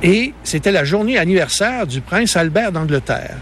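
A man from Gaspésie with a Québécois accent, explaining a national park in a relaxed interview outdoors. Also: high voice, moderate pace, slight background noise. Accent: Québécois French. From Gaspésie, Quebec. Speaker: park guide.

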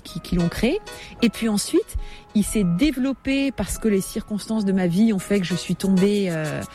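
A woman from France in her forties, a writer giving a reflective personal account, pausing often with hesitant fillers and careful rephrasing. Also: mid-range voice, warm tone. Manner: hesitant, frequent "euh" pauses, careful rephrasing.

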